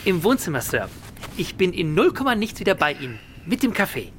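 A man's voice: high voice, very animated, brisk, a little hiss or hum. An actor playing an eager, deferential, chatty male household servant in a radio play.

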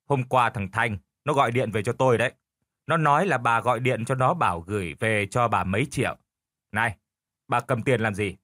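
A man's voice: medium pitch, moderate pace, fairly bright tone, no room sound, studio quality, close to an everyday voice. A man voicing a stubborn, lazy older husband who grumbles, argues and scolds in a storybook. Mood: needling.

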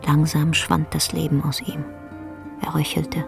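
A woman playing the storyteller of a somber tale, narrating a radio drama in a measured, grave, literary way. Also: low voice, completely flat delivery, vocal fry, music in the background, heavily acted. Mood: grave, hushed.

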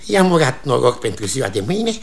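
An actor playing a fretful, servile town-hall jailer who laments and rambles in a radio comedy. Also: male voice, mid-range voice, sing-song, creaky, a little hiss or hum. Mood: fussy storytelling. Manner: lamenting, long-winded storytelling. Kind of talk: theatrical radio comedy acting.

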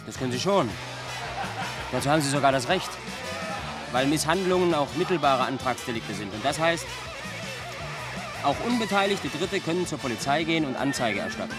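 An actor playing a condescending, pedantic young know-it-all who lectures in a German radio play. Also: male voice, mid-range voice, expressive, brisk, long pauses, natural voice. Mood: lecturing. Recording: music behind the voice.

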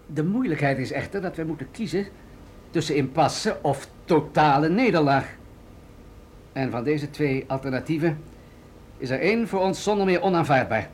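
An actor playing an authoritative, insistent military general in a radio drama, with a measured, persuasive delivery. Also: male voice, a little high, a little hiss or hum, lightly acted. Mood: grave, insistent. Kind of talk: Dutch radio drama acting.

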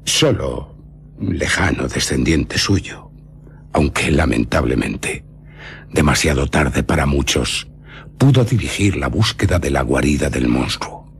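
Casting: grave vampire-hunting baron, Castilian, radio play. Extremely deep, gravelly, solemn delivery. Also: male voice, animated, slow, long pauses, fairly bright tone, slight background noise, exaggerated voice. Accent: Castilian Spanish. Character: grave baron, vampire hunter descended from a nobleman. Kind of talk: radio drama acting.

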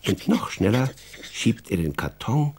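A man with a low, husky voice narrates a children's radio play. His storytelling is expressive, with very lively rises and falls. The delivery is clearly scripted and made for listeners to follow. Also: unhurried.

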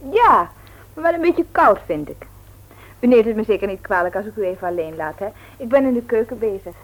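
An actress playing a teasing, lively sister in a Dutch radio play, talking in a colloquial way. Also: female voice, medium pitch, brisk, close to an everyday voice. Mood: polite. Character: teasing, lively grown-up sister and daughter of the house. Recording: noisy old radio play recording.

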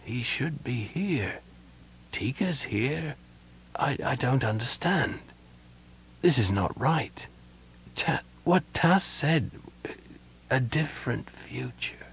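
A man voicing a big, gentle old innkeeper, fatherly and frail, speaking softly and haltingly with a breathy, earnest delivery. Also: lowish voice, expressive. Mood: weak, confused.

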